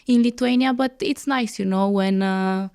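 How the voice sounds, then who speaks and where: A woman guest chatting casually in an interview, laughing often and pausing with fillers. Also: mid-range voice, mellow, smooth.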